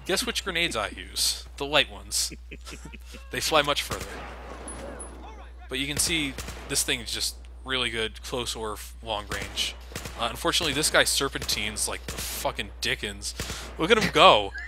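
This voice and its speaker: A man's voice: medium pitch, expressive, a little slow, over music. A man giving casual, sardonic commentary over a video game, swearing offhand and laughing often. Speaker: let's play commentator. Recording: noisy home recording.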